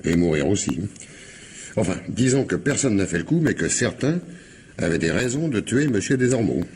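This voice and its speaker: An actor playing a folksy, slyly ironic police commissioner in a radio mystery, probing with hesitations and repetitions. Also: male voice, on the low side, big pitch swings, normal speed, a little mellow. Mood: sly, curious. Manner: hesitant, repeats himself, muttering interjections. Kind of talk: French radio mystery drama acting. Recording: radio drama with audible background noise.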